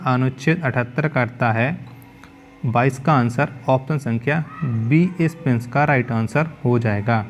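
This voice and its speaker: A male teacher reading out exam quiz questions, then repeating each question and its answer back to the viewer. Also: medium pitch.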